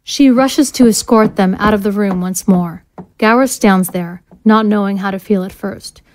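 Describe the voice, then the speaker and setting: A woman's voice: mid-range voice, moderate pace, a little mellow, slight background noise. A woman narrating scene directions from a script in a screenplay-style audio drama.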